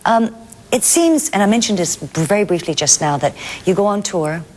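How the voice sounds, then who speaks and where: A woman's voice: medium pitch, animated, moderate pace, a little mellow. A woman hosting a television interview, asking questions in a polite, inquisitive and conversational way. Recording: clear background ambient noise.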